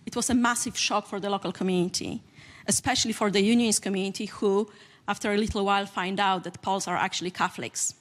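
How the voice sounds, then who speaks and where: A woman with a Polish accent who grew up in Poland, giving a measured talk as a conference panelist. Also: mid-range voice, steady tone.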